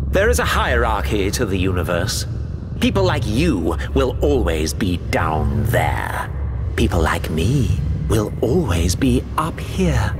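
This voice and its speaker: An actor voicing an arrogant, contemptuous alien overlord in a video game, speaking with condescending menace. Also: male voice, fairly low pitch. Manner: condescending, slow menacing delivery. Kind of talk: video game cutscene acting.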